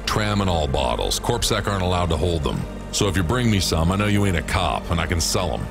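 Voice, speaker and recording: An actor playing a surly, bribe-seeking doorman in a video game, with a gravelly voice and curt manner. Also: low-pitched, big pitch swings, a little fast, heavily acted. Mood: surly, bargaining. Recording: music behind the voice.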